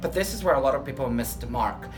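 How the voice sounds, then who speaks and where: Man, Bulgarian accent, earnest, restaurant trainer being interviewed, mid-pitched, faint background music. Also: lively intonation, fast talker. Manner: earnest, enthusiastic, with a few self-corrections. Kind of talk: workplace interview.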